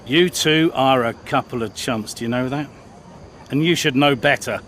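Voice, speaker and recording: A man's voice: medium pitch, moderate pace, fairly bright tone, a little hiss or hum, lightly acted. An actor playing a sardonic male team boss in a game cutscene, dry and scornful in manner.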